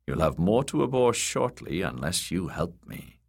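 A man voicing a weary, bitter one-handed knight who speaks low, grim and clipped. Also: sing-song, normal speed, creaky, exaggerated voice. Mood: menacing. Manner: low, grim and clipped, menacing when threatening.

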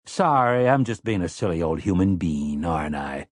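A man's voice: low-pitched, lively intonation, moderate pace, no echo, studio quality, voice pushed down. A man voicing a wily, cheerful grown man who talks casually and conspiratorially in an audiobook. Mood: sly, friendly.

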